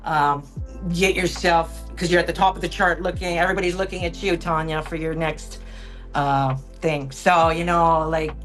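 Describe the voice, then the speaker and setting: A woman with a slightly low voice hosts an online group meetup over a video call. She talks in an upbeat, chatty way, with lots of fillers. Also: steady tone, moderate pace, soft background music. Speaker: meetup host.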